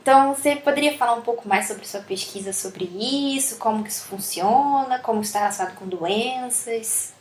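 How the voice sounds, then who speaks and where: A woman's voice: on the high side, moderate pace, hardly any pauses, slight background noise. A Brazilian woman with a Brazilian Portuguese accent hosting a podcast, friendly and peppering her speech with tag questions. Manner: friendly, lots of "né" tags. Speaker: podcast host. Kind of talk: podcast intro and interview.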